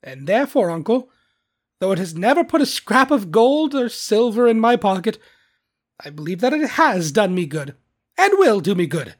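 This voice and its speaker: A narrator voicing a cheerful, warm-hearted young nephew in a storybook, earnest and lively, sometimes pleading. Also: mid-range voice, a little slow.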